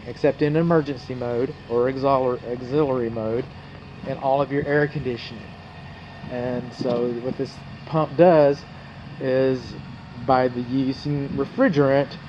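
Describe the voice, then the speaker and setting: A man's voice: mid-range voice, warm tone. A male home inspector filming a walkthrough outdoors beside a house, explaining what he sees in a folksy, digressive way. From North Texas. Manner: folksy, digressive, talks to the viewer. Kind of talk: walkthrough commentary while filming. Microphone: handheld camera mic. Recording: muffled outdoor camera audio with hum.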